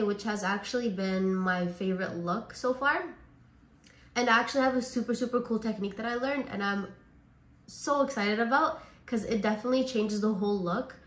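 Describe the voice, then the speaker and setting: A woman from Canada, hoarse and raspy from a cold, chatting casually as a beauty vlogger through a makeup tutorial. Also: mid-range voice, echoey room. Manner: chatty, says like and honestly a lot.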